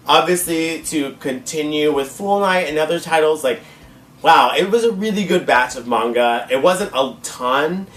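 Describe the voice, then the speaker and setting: Hoarse man gushing in an echoey room. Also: on the high side, fairly bright tone. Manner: gushing, repeats words for emphasis, says y'all. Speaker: host. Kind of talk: casual manga haul vlog. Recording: echoey room, mic at a distance.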